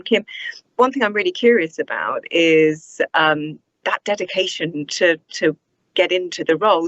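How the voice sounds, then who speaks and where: A woman's voice: fairly low pitch, a bit bright, no room sound. Woman hosting, dry dull sound. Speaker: interview host. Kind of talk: conversational hosting, asking questions. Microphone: video call. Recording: dry, slightly dull video-call audio.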